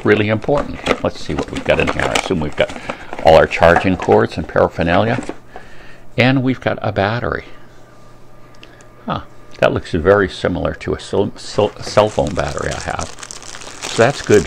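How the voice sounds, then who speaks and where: Guy with a deep croaky voice doing a rambly casual product review, bit of background noise. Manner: rambling, pauses to think. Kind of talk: casual unscripted unboxing review.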